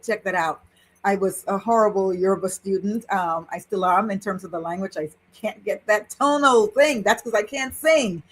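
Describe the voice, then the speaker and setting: A woman in her sixties, a priestess and interview guest, recounting her spiritual journey in an animated way with frequent laughter. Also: medium pitch, slightly rough, poor audio. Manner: animated, laughs while telling a story. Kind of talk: personal storytelling in conversation.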